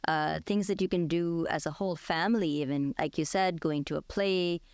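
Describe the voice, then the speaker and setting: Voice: medium pitch, fairly even intonation, moderate pace, clean tone, dry recording, clean studio sound. A radio host who leads a thoughtful, conversational discussion.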